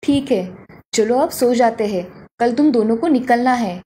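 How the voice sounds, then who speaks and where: A woman voicing a caring mother of two grown daughters in a cartoon story, speaking gently and turning firm when she warns them. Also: on the high side, a little slow, a little hiss or hum, lightly acted.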